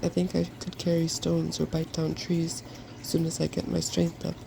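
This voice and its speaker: A softspoken reader voicing a confident, feeble old dragon from a fairy tale, reasoning persuasively. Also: medium pitch, completely flat delivery, normal speed. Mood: hopeful. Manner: self-assured, reasoning. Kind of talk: fairy tale storybook reading. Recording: clearly noisy background.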